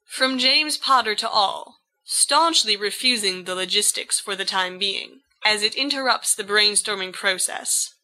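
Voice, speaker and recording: Very bright, unhurried woman narrating. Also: medium pitch, low-quality recording. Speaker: fan fiction reader. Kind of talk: scripted narration.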